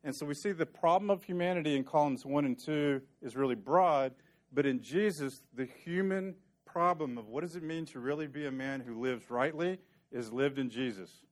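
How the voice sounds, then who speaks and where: A man's voice: a little high, moderate pace. A man teaching a sermon, earnest and conversational, walking through scripture and sometimes joking dryly with the congregation. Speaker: preacher.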